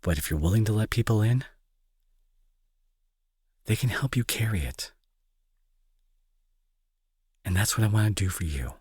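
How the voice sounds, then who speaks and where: A remorseful young bully turned anxious caretaker, played by a man, with a low and very husky voice. He speaks softly and hesitantly, earnest and reassuring, his pitch moving expressively. It has the close, intimate feel of a roleplay audio drama. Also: animated, brisk, no echo. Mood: gently supportive.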